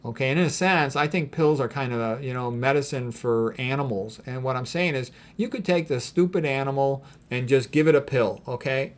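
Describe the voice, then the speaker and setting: A man's voice: medium pitch, fairly quick, hardly any pauses. A man speaking informally as a doctor giving health advice, blunt and opinionated, leaning on repeated phrases for emphasis. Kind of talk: informal commentary on read quotes.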